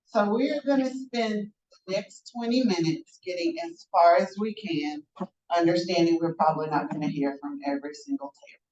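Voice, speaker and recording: A woman's voice: medium pitch, darkish tone. A woman facilitating a workshop, guiding tables through priorities in a friendly, organized way. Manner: friendly, organized, talks to the room. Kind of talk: leading a group discussion. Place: large conference room. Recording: echoey, distant and dull room recording.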